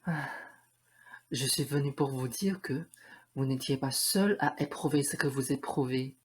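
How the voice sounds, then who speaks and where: A man's voice: medium pitch, a little slow, slightly rough. A man playing an earnest, lovestruck young prince in a French radio play, speaking in solemn declarations. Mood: earnest, smitten.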